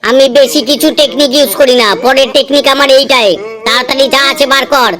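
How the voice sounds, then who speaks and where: Woman playing a brash, high-voiced thuggish beggar. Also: fairly even intonation, brisk, fairly bright tone. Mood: menacing, smug. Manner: boastful, pushy. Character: brash, threatening male thug-like beggar, big and boastful. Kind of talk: comedy cartoon voice acting.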